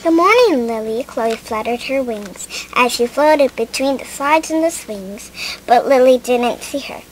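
High-voiced, slow storybook reader, female. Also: slight background noise, poor audio. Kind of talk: reading a children's picture book aloud.

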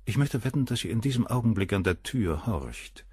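An actor playing a cool, arrogant, middle-aged surgeon who talks down about the women around him, in a radio play. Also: male voice, on the low side, animated, brisk, a little mellow, slightly rough, dry recording, clean studio sound. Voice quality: cool, cynical, dismissive.

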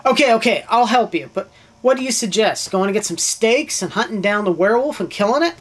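An actor in an amateur film playing a young man who camps with his brother, joking and then calming his brother down. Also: high-pitched, brisk. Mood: reassuring.

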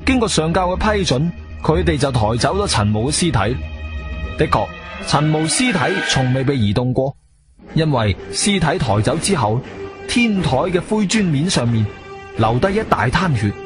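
Inquisitive sci-fi investigator, lively swooping delivery, slightly high voice, Guangzhou Cantonese accent, self-assured radio drama storyteller. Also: male voice, normal speed. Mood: reflective narration. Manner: expressive, theatrical storytelling. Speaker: narrator and lead actor. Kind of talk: Cantonese radio drama, first-person narration and dialogue. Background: music.

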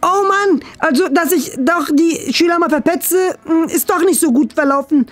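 Super high pitched nerdy schoolboy, fussy n pleading, comedy skit. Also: male voice, moderate pace, fairly bright tone. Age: young adult. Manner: fussy, pleading, hesitant with many hedges. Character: nerdy, eager schoolboy desperate to impress his father. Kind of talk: scripted classroom comedy sketch. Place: small bright classroom, indoors. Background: faint music.

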